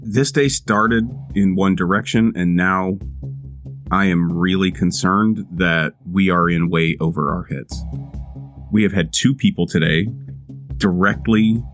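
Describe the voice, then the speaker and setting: A man voicing Ellen, an earnest and cautious adventurer, speaking in a halting way as if thinking aloud in a tabletop roleplay. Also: deep voice, a bit bright, soft background music. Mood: worried. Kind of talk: in-character roleplay.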